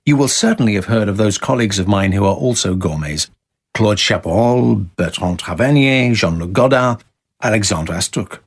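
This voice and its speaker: Low-pitched, expressive man reading letters aloud. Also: moderate pace, husky. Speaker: reader of letters for radio. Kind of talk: dramatised reading of formal letters, scripted.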